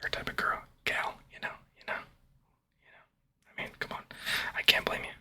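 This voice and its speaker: A man making ASMR in a breathy whisper, rambling casually to his viewers and often repeating short phrases over and over. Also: brisk. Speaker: ASMR video creator.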